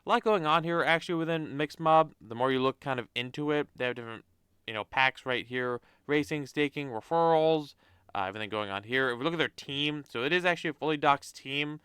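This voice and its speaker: A man's voice: fairly high pitch, fairly quick, a bit bright, clean tone, dry recording, clean studio sound. A young man with a stuffy nose from a cold, casually talking through a website on screen with lots of fillers and restarts. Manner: rambling, many fillers and restarts. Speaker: crypto channel host. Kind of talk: casual screen-share walkthrough.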